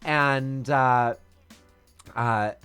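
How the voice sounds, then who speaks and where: A man's voice: mid-range voice, fairly even intonation, extremely slow, pushed and bright, clear, resonant tone, soft background music. A man who performs as a drag queen, telling stories in a casual interview with a strong, theatrical, flamboyant voice. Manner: flamboyant, theatrical storyteller with big swoops.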